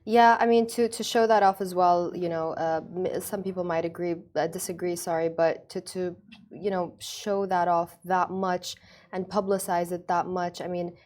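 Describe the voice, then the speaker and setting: Chatty woman host, lowish voice. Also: little pitch movement, normal speed, a little mellow. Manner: chatty, candid, laughs often. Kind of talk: casual studio chat. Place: studio.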